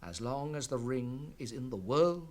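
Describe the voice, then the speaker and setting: An actor with a British accent playing a solemn, wise ancient elf lord in a radio fantasy drama, speaking in formal, weighty oratory. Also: male voice, mid-range voice, expressive, unhurried, a little mellow, natural voice. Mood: stern, sorrowful.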